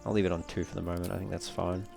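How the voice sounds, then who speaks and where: Male let's player, low-pitched, talking casually through a game and reasoning aloud as he goes. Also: level tone, racing, creaky, darkish tone, soft background music. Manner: thinks aloud while reading menus. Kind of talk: casual gameplay walkthrough commentary.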